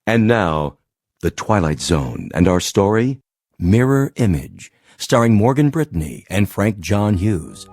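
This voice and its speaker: A man's voice: lowish voice, big pitch swings, unhurried, darkish tone, faint music behind, full character voice. A man as a formal announcer, giving a scripted introduction to an eerie radio drama.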